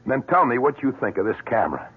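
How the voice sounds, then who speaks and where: An actor in an old radio drama playing a brusque, stubborn police inspector with a gruff, insistent manner. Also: male voice, mid-range voice, sing-song, rapid-fire. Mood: urgent, pleading. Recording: muffled, narrow-band old radio broadcast.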